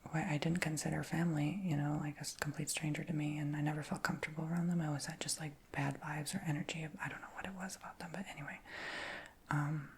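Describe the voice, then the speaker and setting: A man whispering through a rambling ASMR storytime chat, his voice high-pitched for a man, with frequent ums and likes. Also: flat delivery, quick pace, slight background noise. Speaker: ASMR creator.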